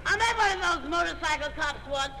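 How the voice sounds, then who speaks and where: An actress playing a brash, dim-witted woman panelist with a gravelly voice in an old radio comedy quiz show. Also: high voice, expressive, fairly quick, pushed and bright, heavily acted. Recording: very noisy old radio recording.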